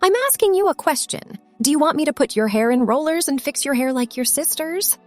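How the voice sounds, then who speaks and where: A woman's voice: on the high side, big pitch swings, normal speed, faint music behind. A woman voicing an insistent mother in an audiobook story, coaxing and firm as she presses her child. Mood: sharp demanding.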